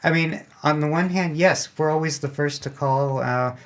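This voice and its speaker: A man's voice: a little high, moderate pace, fairly bright tone. A man explaining things casually as a club guest in an interview, chatty and self-deprecating. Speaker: amateur radio club member, guest.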